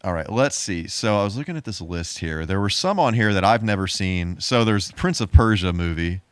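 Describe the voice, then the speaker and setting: A man's voice: deep voice, expressive, brisk, clear, resonant tone, no echo. A man co-hosting a podcast in casual, slightly hesitant and rambling conversation. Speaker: podcast co-host.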